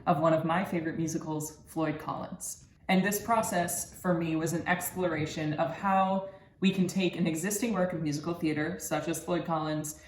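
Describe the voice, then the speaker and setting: Young man presenting, roomy echo. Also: on the high side. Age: early 20s. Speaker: university student presenter. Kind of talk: scripted research presentation. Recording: roomy echo with background noise.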